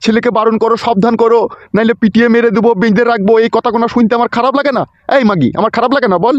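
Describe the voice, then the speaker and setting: A man's voice: extremely high pitch, steady tone, racing, no echo, muffled recording. A man voicing an irate village father in a comedy cartoon, ranting and scolding with foul-mouthed indignation. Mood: indignant.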